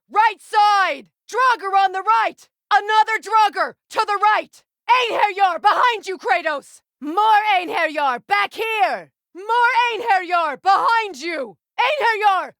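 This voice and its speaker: A woman's voice: very high voice, fairly bright tone, no echo, heavily acted. An actress playing a fierce, commanding warrior woman archer, delivering combat callouts for a video game. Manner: commanding, urgent battle callouts with dry asides.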